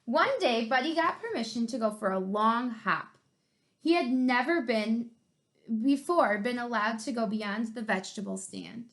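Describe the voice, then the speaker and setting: A woman's voice: on the high side, lively intonation, measured pace, fairly bright tone. A woman co-hosting a children's read-aloud, narrating a picture book.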